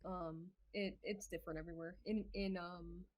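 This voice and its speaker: Young woman guest, soft-spoken and hesitant, filler-heavy casual chat. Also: fairly low pitch, steady tone, moderate pace. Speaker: podcast guest.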